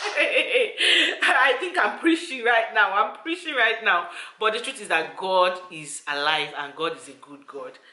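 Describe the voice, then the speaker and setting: A woman's voice: mid-range voice, expressive, measured pace, pushed and bright, echoey room. A woman telling a personal story to her viewers, earnest and repetitive, often calling out to them directly. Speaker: vlogger.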